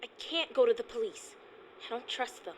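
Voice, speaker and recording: Woman as a high-voiced, guarded young girl. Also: lively intonation, normal speed, a little hiss or hum, close to an everyday voice, poor audio. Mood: guarded, wary. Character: guarded, defiant eleven-year-old girl on the run. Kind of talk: family audio drama acting.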